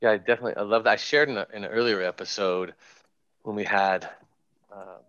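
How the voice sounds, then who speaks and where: A man's voice: lowish voice, steady tone, fast, fairly bright tone, smooth, dry recording. A man hosting a podcast, chatting casually and sharing his own experience with enthusiasm. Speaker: podcast host. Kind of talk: casual conversation. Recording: dull lo-fi, dry.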